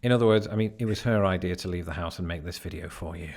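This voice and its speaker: A man's voice: deep voice, quick pace, a little mellow. A man from Britain living in the American Midwest, narrating his vlog with dry, sardonic humor. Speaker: vlogger in the original video.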